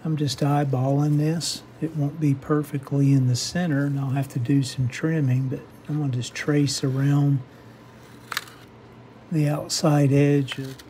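Male how-to host, soft dark tone, methodical and hesitant, unscripted bench demo, some background noise. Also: a little high, flat delivery, a little slow. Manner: hesitant, methodical step-by-step explaining. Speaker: host of a radio repair how-to channel. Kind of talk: unscripted hands-on workshop demonstration. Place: indoor home workshop bench.

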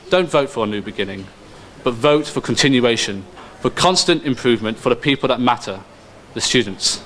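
A man's voice: mid-range voice, a bit bright, slight background noise. Young man giving a rousing campaign close. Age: young adult. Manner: earnest, rhetorical closing appeals. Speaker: student election candidate. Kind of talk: campaign speech at a podium. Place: dim student union venue, indoors. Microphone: podium mic on stand.

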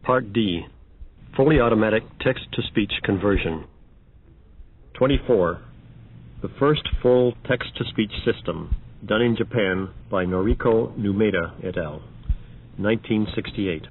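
A man reading scripted, numbered announcements in a measured, matter-of-fact narrator's manner. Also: fairly low pitch, husky. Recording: dull band-limited old audio.

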